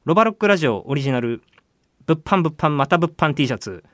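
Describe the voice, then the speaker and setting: A male radio co-host who talks quickly with plenty of movement in his pitch. His chat is casual yet polite and deferential, recorded dry in a studio. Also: lively intonation, a bit bright, no room sound.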